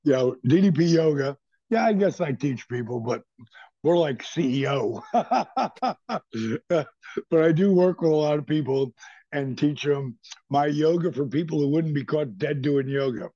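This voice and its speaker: A man's voice: on the high side, expressive, unhurried, mellow, dry recording, muffled recording. A man speaking as an interview guest, easygoing and laughing as he talks about his work. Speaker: interview guest, fitness entrepreneur.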